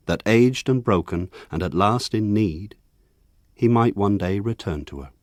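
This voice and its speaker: An actor playing an older man recalling his village childhood in measured, reflective, lyrical radio drama narration. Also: lowish voice, a little mellow, dry recording, studio quality.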